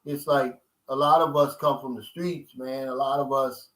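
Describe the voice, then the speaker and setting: A man's voice: mid-range voice, steady tone, moderate pace, fairly bright tone, rough recording. A man in his fifties hosting a live stream, reading viewers' comments and answering them in loose, streetwise talk. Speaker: vlogger reading live chat. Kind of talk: casual live-stream chat.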